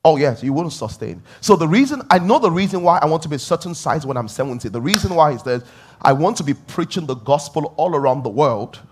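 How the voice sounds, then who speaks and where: A man's voice: fairly high pitch, animated, fast, slight background noise. A middle-aged male pastor preaching a conversational sermon, repeating key phrases and rising in emphatic bursts.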